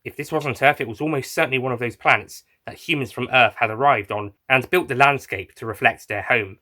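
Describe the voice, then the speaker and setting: Male storyteller, mid-pitched and bright, for scripted first-person adventure narration. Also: a little fast. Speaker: narrator and writer of an audio story.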